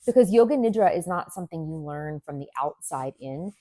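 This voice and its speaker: A woman teaching yoga nidra, leading a guided meditation in a gentle, soothing manner with deliberate pauses. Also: low-pitched, animated, no echo, studio quality.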